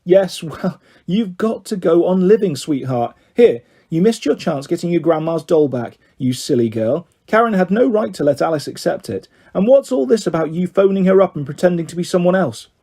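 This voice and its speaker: A man voicing an affectionate, wry mother, mildly exasperated and gently scolding, in a children's storybook reading. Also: sits high, expressive, normal speed, breathy edge, full character voice. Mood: fond scolding.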